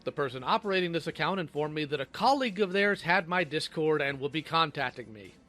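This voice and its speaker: Robot guy voice reading a script, kinda high n sharp, sarcastic. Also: expressive, fast talker, soft background music. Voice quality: synthetic robot voice. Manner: sardonic. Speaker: video creator responding to allegations. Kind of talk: scripted narration.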